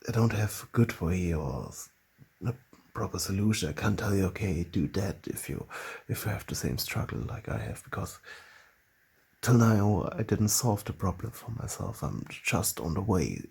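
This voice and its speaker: Husky, gravelly man with a German accent. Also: low voice, lively intonation, a little mellow. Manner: halting, searching for words, frequent restarts. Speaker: channel host. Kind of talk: casual monologue to camera.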